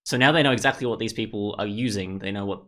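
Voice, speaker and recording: A man's voice: fairly low pitch, quick pace, fairly bright tone. A man giving casual gameplay commentary, rambling as he thinks aloud. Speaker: gaming video host. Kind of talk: casual game walkthrough commentary.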